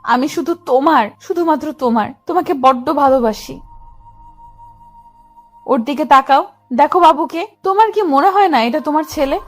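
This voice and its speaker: A woman's voice: high voice, moderate pace, long pauses, lightly acted. A woman playing a hurt, devoted young wife and mother in a dramatised audio love story. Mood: pleading. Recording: music under the voice.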